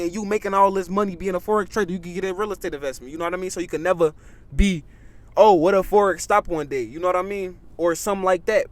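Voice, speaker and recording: An eighteen-year-old man, talking animatedly in a casual podcast chat, tagging lines with "bro" and "you know what I mean". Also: sits high.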